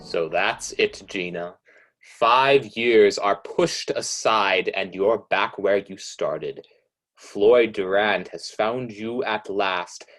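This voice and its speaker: A low-voiced narrator, acted by a man, tells an old-time radio mystery in the second person. He is knowing and ominous, drawing out each phrase very slowly and insinuating guilt as he needles the heroine. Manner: deliberate, insinuating.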